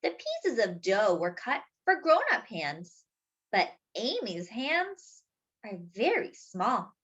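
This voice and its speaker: A woman reading a picture book aloud to young children as a storytime host, expressively, with drawn-out words and little asides to her listeners. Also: a little high, very animated, a bit bright, breathy edge, highs cut off.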